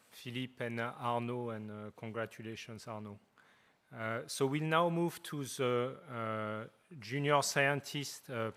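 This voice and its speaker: A man hosting an award ceremony, making live introductions in a halting way with many hesitations. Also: medium pitch, a bit bright. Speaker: ceremony host.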